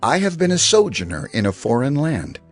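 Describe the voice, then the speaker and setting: A man's voice: medium pitch, very animated, brisk, soft background music, lightly acted. An actor playing an earnest, troubled Hebrew man in an audio Bible drama. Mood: reflective.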